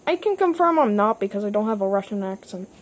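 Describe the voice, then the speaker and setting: A woman's voice: medium pitch, lively intonation, quick pace, slight background noise, lightly acted. A woman voicing a laid-back, weary war-veteran engine in a toy-train roleplay, casual and matter-of-fact. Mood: low-key, matter-of-fact.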